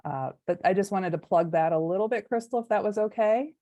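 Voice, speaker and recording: A woman's voice: medium pitch, a little fast, mellow, no echo, muffled recording. A woman speaking for a charity board on a video call, earnest, with many uhs and ums. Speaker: foundation board member. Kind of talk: short organization overview on a webinar. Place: her home in the evening. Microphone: computer mic on a video call.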